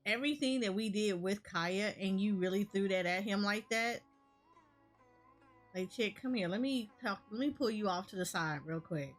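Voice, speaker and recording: A woman's voice: medium pitch, normal speed, soft background music. A woman chatting casually as a gaming streamer, sassy and talking back at the characters. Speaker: gaming streamer reacting to a story quest. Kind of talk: casual reaction chat.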